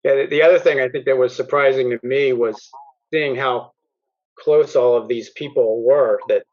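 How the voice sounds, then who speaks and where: A man explains his research as an interview guest in a mid-pitched voice, leaning on fillers as he goes. The sound is slightly dull and lo-fi. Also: steady tone, moderate pace. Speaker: author, guest.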